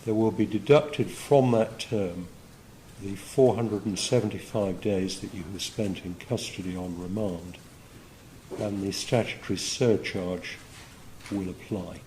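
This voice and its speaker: A man speaking as a judge, delivering formal sentencing remarks in measured, broken phrases. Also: on the low side, dark tone, slight background noise, low-quality recording. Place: courtroom.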